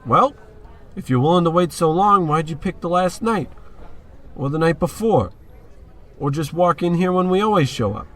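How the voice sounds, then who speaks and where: A man's voice: a little high, lively intonation, normal speed, long pauses, fairly bright tone. A man playing a hapless, hard-drinking working stiff who gripes in slang in a comic old-time radio play. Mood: griping.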